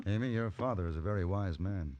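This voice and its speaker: Radio western lead: male actor as hired gun, low register, unhurried, principled, dry menace under a calm surface. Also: lo-fi sound, background noise, close to an everyday voice. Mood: calm, firm. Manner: measured, dry, quietly menacing. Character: cool, steely gunfighter for hire, principled and calm. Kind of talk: old time radio western acting.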